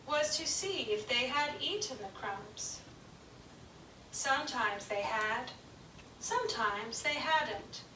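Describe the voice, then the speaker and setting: Slow, bright-voiced woman telling a story. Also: high-pitched, a little hiss or hum. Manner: slow, gentle, clearly paced reading. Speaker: puppet show narrator. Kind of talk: children's storytelling. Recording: echoey room, distant mic.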